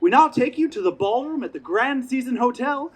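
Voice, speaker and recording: Actor performing a tyrannical playwright, high voice, declamatory, grandiose, imperious, stage comedy. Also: expressive, fairly quick. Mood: solemn announcing. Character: pompous, tyrannical radio playwright and director, theatrically grandiose. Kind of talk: community theater stage comedy acting. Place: outdoor stage in a parking lot.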